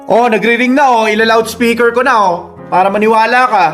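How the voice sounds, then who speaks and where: A man's voice: very high-pitched, fairly even intonation, fairly quick, fairly bright tone, soft background music. A man acting in a Filipino radio drama as a persistent, manipulative young suitor with an insistent, goading delivery.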